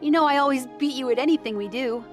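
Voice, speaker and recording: A woman's voice: sits high, fast talker, lightly acted. A woman playing a boastful, competitive little girl in an anime audio drama. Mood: cocky. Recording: music under the voice.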